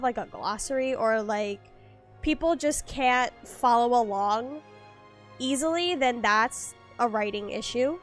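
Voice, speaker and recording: A woman's voice: high voice, fairly bright tone. A woman streaming a game, chatting with her viewers in a rambling, casual way, a little stuffy from allergies. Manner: rambling, lots of fillers and restarts, chatty with viewers. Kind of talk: casual gameplay stream chat. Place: at home.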